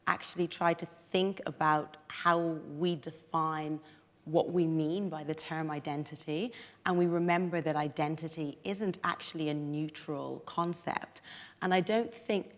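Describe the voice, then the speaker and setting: Low-voiced Irish woman in an echoey hall. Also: steady tone, measured pace. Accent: softened Irish. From Dublin, Ireland. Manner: articulate, analytical, explanatory. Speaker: debate panellist. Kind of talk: live panel discussion. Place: on stage in a theatre.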